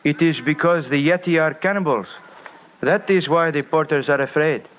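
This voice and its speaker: An actor playing an earnest, fearful native Himalayan guide in an old-time radio drama, speaking formally and emphatically. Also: male voice, on the high side, moderate pace, natural voice. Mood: alarmed, insistent. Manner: formal, emphatic, uncontracted speech. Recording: muffled, noisy old radio recording.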